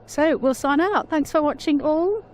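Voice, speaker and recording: Chatty woman, quiet voice. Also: sits high, normal speed, slight background noise. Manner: chatty, laughs often, naturally quiet voice. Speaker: motorcycle vlogger, pillion rider. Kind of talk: casual chat.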